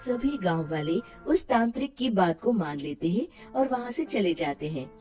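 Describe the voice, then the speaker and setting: A woman telling a cartoon folk tale with a lively, expressive lilt. Her tone is mellow and warm, with faint music beneath the narration. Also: medium pitch, moderate pace. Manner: expressive storytelling. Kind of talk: scripted storybook narration.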